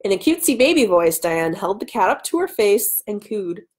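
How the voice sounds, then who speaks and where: A woman in her thirties reads a first-person story aloud. She acts out the dialogue as she goes, at a middle pitch. It is recorded on a webcam mic in a small room at home. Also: sing-song, moderate pace, darkish tone. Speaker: author and host. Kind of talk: animated live reading of a first-person story. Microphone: webcam mic at arm's length.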